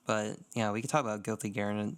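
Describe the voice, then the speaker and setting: A man's voice: fairly low pitch, fairly even intonation, racing. A young man co-hosting a casual gaming podcast, speaking in an enthusiastic, self-mocking, slangy way. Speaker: podcast co-host.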